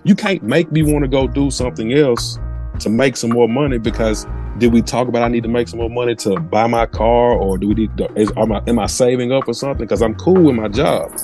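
A man's voice: mid-range voice, fairly quick, dark tone, soft background music. A man on a podcast giving opinions in casual slang, often acting out imagined lines. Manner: slangy, acts out imagined quotes. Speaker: podcast panelist.

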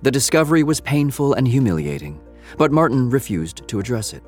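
A man giving measured, literary narration for an audio drama, telling the story in the third person. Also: mid-range voice, very animated, darkish tone, faint music behind.

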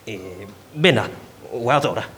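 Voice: mid-range voice, animated, normal speed, a bit bright, breathy edge. A performer voicing a thirty-six-year-old male burglar posing as a renter in a student radio drama, wary and nervous. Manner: wary and uneasy, turning to panicked screaming. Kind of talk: amateur radio drama acting.

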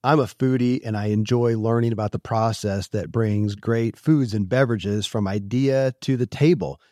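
A man's voice: on the low side, moderate pace, mellow, no room sound, studio quality. A man from Kentucky reading a scripted first-person advertisement. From Kentucky, United States. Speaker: ad reader.

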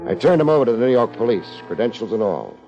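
A man's voice: medium pitch, very animated, fast talker, faint music behind. An actor playing a hardboiled American detective, narrating his case in a wry, clipped way for 1950s radio. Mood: wry, measured. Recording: dull old 1950s radio recording.

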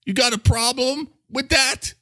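A man's voice: very high voice, unhurried, pushed and bright, no room sound, clean studio sound, voice pushed up, full character voice. A man voicing a touchy, foul-mouthed talking coffee maker with a New York accent, blustery and defensive. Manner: blustery, defensive, swearing, badgering with questions.

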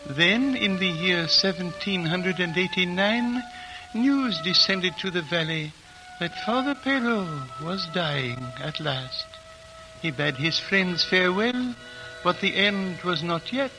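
An actor playing an ancient, frail High Lama who speaks in a slow, grave, measured way, in a 1940s radio drama. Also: male voice, animated, exaggerated voice, poor audio. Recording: old radio broadcast with music.

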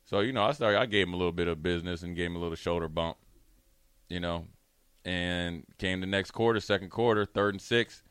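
Guy on sports radio spinning an old game story, deep clean voice, super dry sound, acts out the quotes. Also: a little fast, a bit bright, smooth, dry recording. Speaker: radio show co-host, former pro football player.

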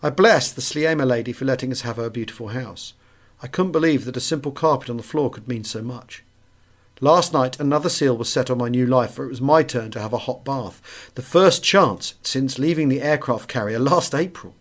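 A man's voice: medium pitch, normal speed. A man narrating a wartime memoir as a scripted audiobook reading.

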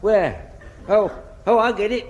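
An actor playing an excitable, muddled old English butcher in a vintage British radio sitcom, rambling and chirpy and easily flustered. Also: male voice, sits high, unhurried. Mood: cheerful, muddled. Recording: noisy vintage radio recording.

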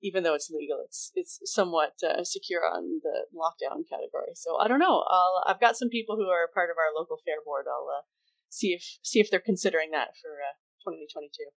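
A woman's voice: fairly low pitch, brisk, gravelly, poor audio. A woman co-hosting a podcast, chatting in an easygoing way, laughing often and restarting her sentences as she thinks aloud. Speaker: podcast co-host. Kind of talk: casual podcast chat.

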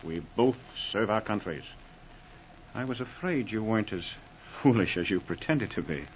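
Dignified young captured German officer played by a man, low and courteous, muffled vintage radio drama. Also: animated, normal speed, close to an everyday voice. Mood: resigned, dignified. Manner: courteous, composed. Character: calm, proud young male German officer, captured spy. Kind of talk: 1940s radio drama acting. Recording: muffled, noisy old radio recording.

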